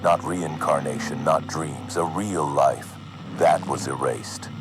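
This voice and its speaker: A man narrating an analog horror video as a cryptic, foreboding figure, slowly and deliberately in short, measured phrases. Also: a bass voice. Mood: ominous, cryptic. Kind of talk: slow scripted horror narration addressed to the listener. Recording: music under the voice.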